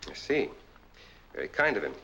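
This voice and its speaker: An actor playing a suspicious, commanding sanitarium doctor in a clipped, authoritative 1940s film style. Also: male voice, lowish voice, very animated, moderate pace, pushed and bright, full character voice. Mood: probing, cool. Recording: dull 1940s film soundtrack, faint noise.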